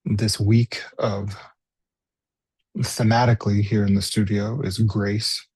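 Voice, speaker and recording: A man leading a guided body scan meditation as a teacher, speaking gently and unhurriedly with pauses. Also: lowish voice, level tone, husky, dry recording.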